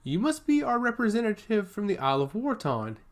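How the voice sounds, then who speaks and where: A man voicing a genial old robed temple liaison with a booming, courteous, unhurried delivery. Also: very animated. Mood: welcoming.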